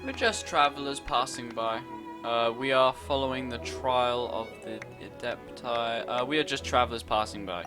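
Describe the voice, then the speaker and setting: A man's voice: mid-range voice, moderate pace, loud music behind the voice. A man doing casual, joking gameplay commentary, reacting and repeating himself. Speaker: gaming streamer.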